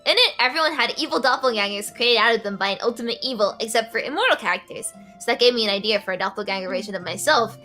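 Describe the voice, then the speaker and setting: A woman with a bright, crisp tone reads stories aloud, hesitating with fillers as she goes. Her voice sits a little high, and faint music plays behind her. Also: racing, few pauses. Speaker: stream guest. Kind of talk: casual chat stream.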